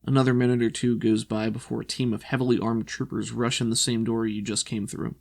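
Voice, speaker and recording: A man with a gravelly voice, running a tabletop roleplaying game as game master, telling the story and explaining in a deliberate way. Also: medium pitch, level tone, a little mellow. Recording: voice over background music.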